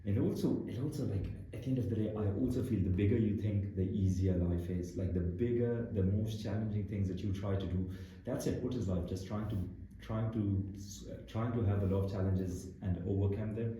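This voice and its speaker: A man's voice: fairly low pitch, brisk, mellow, slight background noise. A young man from Pakistan, a founder being interviewed, talks earnestly and thinks aloud with restarts and the odd laugh. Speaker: guest founder. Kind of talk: casual interview conversation. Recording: very echoey room.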